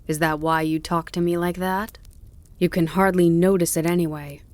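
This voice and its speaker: A sneering, limping stag with a falsely genteel, mocking tone in a storybook, as a woman reads him aloud. Lowish in pitch. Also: steady tone, normal speed, no echo, close to an everyday voice. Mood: cross defensive. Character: older limping male deer, sneering and contemptuous.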